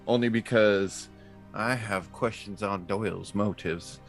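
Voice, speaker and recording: A man's voice: on the low side, unhurried, faint music behind. A man speaking casually as a player in a tabletop role-playing game.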